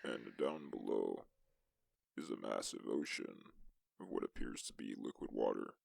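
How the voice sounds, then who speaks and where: A man narrating as a lone astronaut explorer in a suspense science-fiction story, with a gravelly voice and measured, deliberate delivery. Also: a bass voice, animated, a little mellow, no room sound, full character voice. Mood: measured, solemn. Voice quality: gravelly, creaky. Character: lone male astronaut explorer recounting a tense exoplanet mission. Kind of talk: scripted first-person sci-fi story narration.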